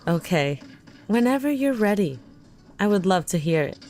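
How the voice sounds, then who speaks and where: An actress plays a worried woman in a sci-fi audio drama, with a mid-pitched voice. She is earnest and questioning, caring yet suspicious, and she presses her point with gentle insistence. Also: big pitch swings, normal speed, faint music behind, natural voice. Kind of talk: audio drama acting, naturalistic dialogue.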